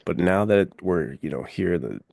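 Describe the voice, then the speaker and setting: A man's voice: low voice, expressive, moderate pace, gravelly, darkish tone, no room sound. A man hosting a podcast, chatting casually and enthusiastically about films in very informal, slangy speech. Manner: informal, peppered with "like" and "dude". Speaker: podcast host. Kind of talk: casual enthusiastic film chat.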